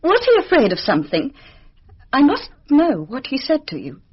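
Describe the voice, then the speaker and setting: An actress playing a bitter, overwrought young British aristocrat's daughter in a radio murder mystery, with intense, theatrical delivery. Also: female voice, high voice, wildly swooping pitch, moderate pace, breathy edge, highs cut off, heavily acted. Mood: demanding, indignant. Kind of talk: radio drama acting.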